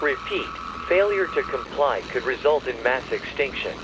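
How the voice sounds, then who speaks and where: A man delivering a scripted emergency broadcast warning in a formal, measured, official announcer's manner. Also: mid-range voice, expressive, background noise. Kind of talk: scripted emergency alert narration.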